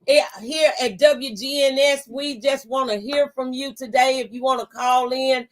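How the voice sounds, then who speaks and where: A woman's voice: sits high, steady tone, normal speed. A woman radio host talking conversationally in a preacherly, testifying way, offering faith-based encouragement. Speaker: radio talk show host.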